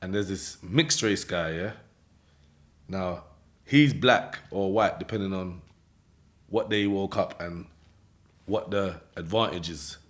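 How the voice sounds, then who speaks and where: London guy with a low voice, telling a story in street slang, laughs a lot and does everyone's voices. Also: takes it slowly, long pauses. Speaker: interview guest. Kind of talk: casual storytelling interview.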